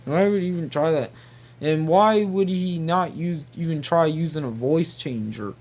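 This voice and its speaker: A man rambling casually in a halting, repetitive, incredulous way. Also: a little high, darkish tone. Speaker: video creator.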